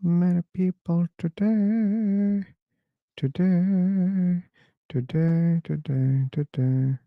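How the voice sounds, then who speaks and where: Slow gravelly male stream host. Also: high-pitched, steady tone, dry recording, phone-quality sound. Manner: slow, idle chatter while waiting, repeating words. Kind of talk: casual live-stream chat. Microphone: computer mic on a video call.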